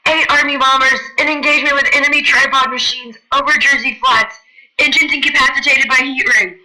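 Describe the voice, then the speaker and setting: A woman's voice: high voice, steady tone, brisk, bright, forceful tone, poor audio. A woman playing a military radio operator in a radio drama, relaying clipped official reports. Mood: brisk, official.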